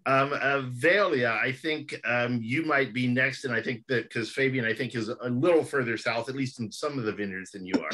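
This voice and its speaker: Bright-voiced male panel host. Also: mid-range voice, moderate pace, hardly any pauses. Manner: friendly, hesitant with fillers. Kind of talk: video call panel hosting. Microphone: video call.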